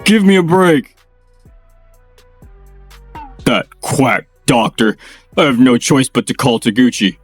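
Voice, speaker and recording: An actor playing an arrogant, bossy middle-aged company president in a manga dub. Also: male voice, mid-range voice, big pitch swings, slow pace, long pauses, husky, faint music behind, heavily acted. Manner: bossy, domineering.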